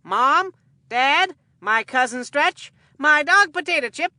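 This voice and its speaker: A woman voicing an excitable, food-loving boy baseball commentator in a cartoon game, with a folksy, earnest showman delivery. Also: sits high, slow, no room sound, heavily acted.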